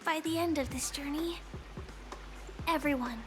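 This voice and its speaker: An actress playing a gentle, earnest, hopeful young woman in an anime-style video game. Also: high voice, unhurried.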